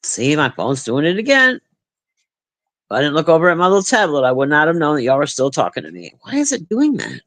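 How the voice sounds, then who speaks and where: A middle-aged woman with a deep, husky smoker's voice, chatting profanely and bluntly with her livestream viewers. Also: brisk. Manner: profane, blunt, animated banter. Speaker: livestream host reading chat. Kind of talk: casual livestream chat.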